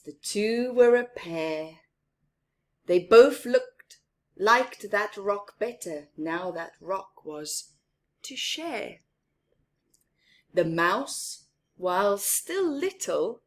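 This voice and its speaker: Slow, expressive woman reading a children's story. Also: on the low side. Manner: expressive, giggly, explains simply to young children. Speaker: children's story-time reader. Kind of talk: read-aloud storytelling with explanatory asides to children.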